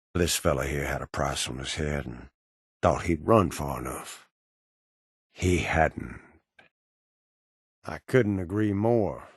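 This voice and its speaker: Video game ghoul bounty hunter: low-pitched, folksy Southern drawl, cynical and menacing, very dry sound. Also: male voice, dry recording. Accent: American Southern. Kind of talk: video game character dialogue.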